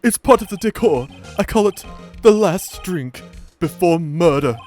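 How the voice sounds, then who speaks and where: A man's voice: high-pitched, expressive, unhurried, a little mellow, soft background music. A man voicing an obsequious, eccentric video game bellhop with mock-formal politeness and morbid salesman zeal. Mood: grand, eager.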